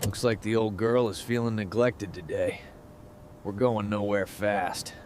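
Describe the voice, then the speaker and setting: A man's voice: fairly low pitch, fairly even intonation, unhurried, a little hiss or hum. A man working as a car restorer gives scripted commentary on the job.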